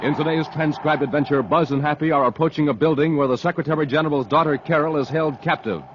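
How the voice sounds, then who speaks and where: A man's voice: fairly high pitch, fairly even intonation, quick pace, noisy background. An American man working as a 1950s radio announcer, delivering scripted lines with emphatic, dramatic showmanship. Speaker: announcer, narrator. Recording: dull, lo-fi vintage radio recording.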